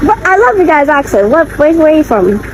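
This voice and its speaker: Woman, ditzy questioner in a skit, high voice, noisy car. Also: big pitch swings, fairly quick.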